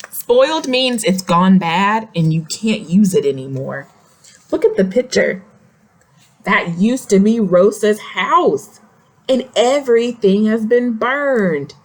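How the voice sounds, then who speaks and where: A woman's voice: mid-range voice, low-quality recording. A woman reading a picture book aloud to young children, expressively, with simple questions and explanatory asides. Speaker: read-aloud storyteller.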